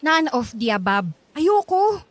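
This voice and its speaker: Anxious teenage girl, Filipino accent, radio drama, high voice, very lively intonation. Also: unhurried, dry recording. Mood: fretful, indecisive. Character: anxious, indecisive teenage girl student, hurt by friends.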